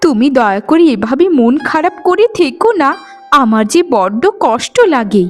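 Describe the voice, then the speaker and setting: Woman playing a high-voiced, gentle young bride. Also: expressive, normal speed, soft background music, lightly acted. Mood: tender, consoling. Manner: pleading, tender, earnest. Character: gentle, devoted young bride, orphan of the forest. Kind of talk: melodramatic cartoon acting.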